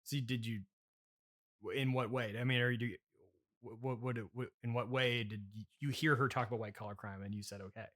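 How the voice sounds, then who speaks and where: A man hosting an improvised comedy interview, asking halting, skeptical questions in a deadpan conversational style. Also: medium pitch. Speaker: podcast host, interviewer.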